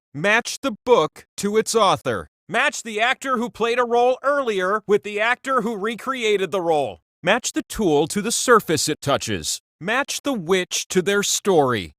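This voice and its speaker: A man's voice: high voice, a bit bright, dry recording, clean studio sound, exaggerated voice. A man voicing a giant talking wheel that hosts a video game quiz, vain and quirky, with theatrical delivery and wry asides. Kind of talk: theatrical video game hosting, reading clues and quips.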